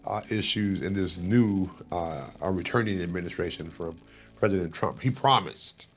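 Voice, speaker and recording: A male interviewer with a low voice asks plain, conversational questions and keeps the guest going with quick murmurs of agreement. The talk is set in an office, with soft music running faintly in the background. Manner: conversational, prompting with short questions and backchannels. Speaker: news interviewer. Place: law office.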